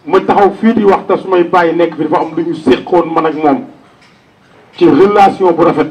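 A man's voice: on the high side, fairly quick, a little mellow, distant mic, dull audio. A Senegalese man delivering a public funeral tribute as eulogist, speaking into a microphone outdoors. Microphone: handheld-type microphone on a stand.

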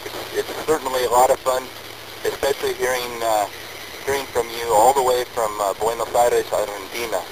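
An American man chats over amateur radio. He sounds easygoing and friendly, with a mid-pitched voice. The radio link is clearly noisy, with engine hum behind him. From United States. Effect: radio transmission. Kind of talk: casual chat over ham radio.

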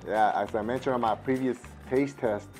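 A man in his thirties with a husky voice from losing it the day before, chatting casually at a home table as he tastes and reviews a snack. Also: mid-range voice, steady tone, moderate pace, a little mellow, faint music behind. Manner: easygoing, thinks aloud with fillers, switches to Spanish with his kids. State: husky, recovering from lost voice. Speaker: food reviewer. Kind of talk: casual taste-test vlog. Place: home room at a table, indoors. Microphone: lapel.

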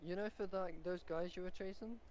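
A man's voice: high voice, fairly even intonation, a little fast, darkish tone, a little hiss or hum. A man chatting casually and a little hesitantly as a player in an online roleplay game.

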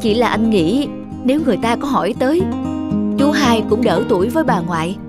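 A man's voice: very high-pitched, lively intonation, brisk, over loud music. A man voicing a considerate, patient husband in a cartoon, earnest and placating. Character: mild, earnest, considerate husband and older brother.

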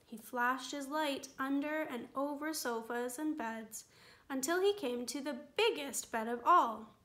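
Woman, children's book reading, high voice, bright, gentle. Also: a little slow. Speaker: storytime reader. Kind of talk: picture-book read-aloud.

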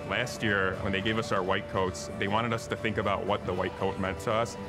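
A man's voice: lowish voice, little pitch movement, a little fast. A young man, a medical student, reflecting earnestly in conversation outdoors. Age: young adult. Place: outdoor park picnic. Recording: music behind.

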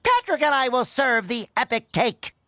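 An actor playing an excitable, cheerful, boyish male sea sponge in a cartoon video game, eager and theatrical. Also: mid-range voice, normal speed, no echo, studio quality. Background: game music.

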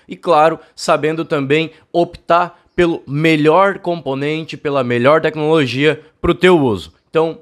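A young man from Brazil hosts a cycling channel, talking to camera and explaining with his hands while he has a bad cold. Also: fairly high pitch. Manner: fast, chatty, explains with hand gestures. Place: home studio room. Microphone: fluffy-windscreen desk mic, close.

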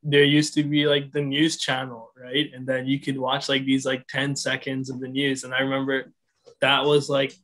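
A man's voice: medium pitch, monotone, normal speed, a bit bright. A young man from Sri Lanka talking casually on a video call panel, chatty with lots of "like" and "right". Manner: chatty, many likes and rights.

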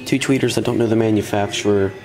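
A man's voice: fairly low pitch, fairly even intonation, fast, a little mellow. A man giving a casual, offhand demonstration as a hobbyist, speaking informally into a handheld camera in a room at home. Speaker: audio hobbyist presenter. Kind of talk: casual handheld demo commentary. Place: room at home with wooden floor.

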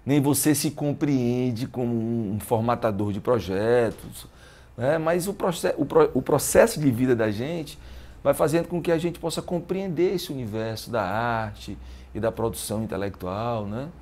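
A man's voice: mid-range voice, moderate pace, a little mellow. A man in his early fifties with a northeastern Brazilian accent, talking reflectively as an interview guest and often checking for agreement. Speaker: interview guest, musician and teacher. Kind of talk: casual interview talk. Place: living room at home. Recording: clear background room noise.

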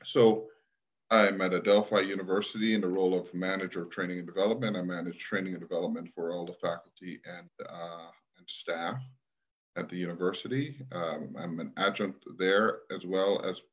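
Guest, middle-aged man, very low voice, measured and reflective, muffled call audio. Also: fairly even intonation. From Guyana, raised in Brooklyn. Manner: thoughtful, measured, with restarts. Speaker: guest, leadership trainer. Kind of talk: conversational interview. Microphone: video call.

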